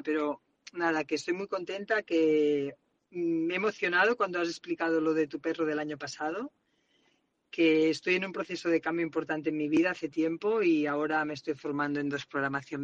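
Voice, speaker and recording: Bright-voiced Catalan woman in a voice note. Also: low-pitched, brisk. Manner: grateful, reflective, talks while driving. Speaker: listener sending a voice message. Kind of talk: recorded voice message. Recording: muffled voice message from a car.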